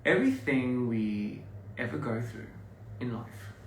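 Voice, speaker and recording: A man's voice: medium pitch, lively intonation, measured pace, vocal fry, slight background noise. A man from Australia, chatting casually on a livestream and rambling with many restarts. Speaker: livestream host. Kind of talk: casual livestream chat with viewers. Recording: echoey room, a bit far from the mic.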